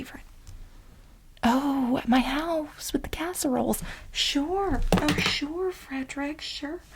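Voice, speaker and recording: A woman playing an anxious, needy lab researcher in a soft-spoken ASMR role play, breathy and often slipping into a whisper, halting and rambling. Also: high voice, heavy background noise. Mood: grateful, flustered. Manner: halting, rambling, emotional, repeats herself.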